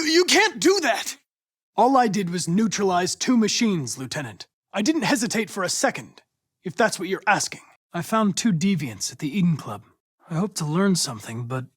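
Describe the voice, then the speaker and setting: An actor playing a polite, earnest young male android police investigator in a video game, speaking in precise, formal, measured diction. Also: sits high, a bit bright, husky, no echo, clean studio sound, exaggerated voice. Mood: anguished. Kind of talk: scripted video game dialogue.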